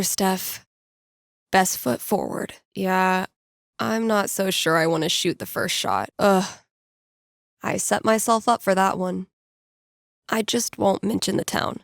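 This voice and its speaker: A woman's voice: mid-range voice, vocal fry, dry recording, clean studio sound. An actress playing a laid-back, geeky young American woman in a video game, speaking in a casual, wry, playful way. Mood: wry, self-talking. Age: young adult. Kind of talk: naturalistic video game character dialogue.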